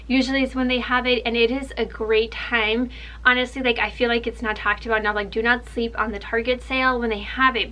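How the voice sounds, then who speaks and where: Chatty, excited young woman, bright voice. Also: fairly high pitch, rough recording. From Ukraine. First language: Russian. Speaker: book vlogger. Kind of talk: casual book haul chat.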